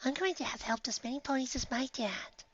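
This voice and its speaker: A reader putting on a soft, timid young mare. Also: high-pitched, fairly quick, dry recording, dull audio, pitched above the performer's own voice. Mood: gentle. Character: shy, gentle young mare activist.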